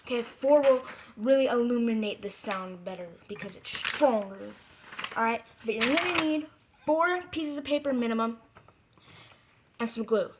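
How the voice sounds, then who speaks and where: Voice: medium pitch, moderate pace, slight background noise. A video creator giving a casual how-to craft tutorial, rambling, correcting themselves and repeating points for emphasis. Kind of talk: casual DIY how-to vlog talk.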